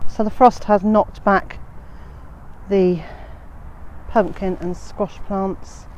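A woman's voice: mid-range voice, steady tone, slow, darkish tone, poor audio. A woman in her fifties, slightly bunged up with a cold, chatting casually to a handheld phone outdoors in a frosty garden, with many "uh" fillers. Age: 50s. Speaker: homesteading vlogger. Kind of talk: casual walk-and-talk vlog. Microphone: handheld phone at arm's length.

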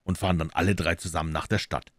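A man voicing a coolly assured, incisive gentleman detective in an audiobook, emphatic and courteous. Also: low voice, fairly even intonation, quick pace, dry recording, studio quality. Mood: assured, brisk.

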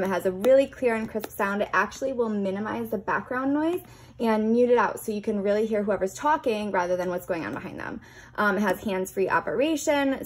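A woman's voice: medium pitch, slight background noise. A woman with a mid-range voice narrates a hands-on product demo. She explains things informally and chattily, like a casual reviewer walking viewers through what is in front of her.

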